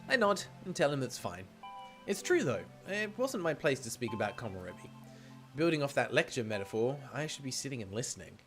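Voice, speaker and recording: A man livestreaming a visual novel, switching between expressive reading and chatty, playful commentary. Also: medium pitch, fairly quick. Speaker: game streamer. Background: game music.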